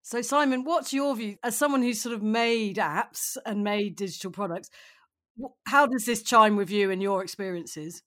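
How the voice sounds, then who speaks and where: A woman with a mid-pitched voice hosting a panel discussion. She reacts conversationally and passes questions to her guests. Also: normal speed, a bit bright. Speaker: podcast host. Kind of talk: podcast discussion.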